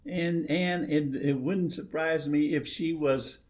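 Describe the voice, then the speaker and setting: A middle-aged or older man giving opinions in a casual chat, halting and repeating words as he goes. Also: a little high, steady tone, darkish tone. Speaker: panel guest giving opinions.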